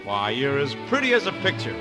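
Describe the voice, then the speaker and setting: An actor playing a teasing, brisk young romantic lead in a 1940s radio operetta. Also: male voice, mid-range voice, wildly swooping pitch, over music. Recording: old 1940s radio broadcast recording.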